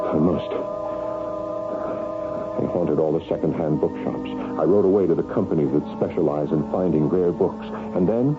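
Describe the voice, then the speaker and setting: A meek, anxious middle-aged fellow in a radio mystery. His low voice swings widely and tends to stammer. It comes through tinny, as from an old broadcast. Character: shy, nervous, browbeaten forty-year-old man, mystery fan. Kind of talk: radio drama acting with first-person narration. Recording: tinny, band-limited radio broadcast, music behind.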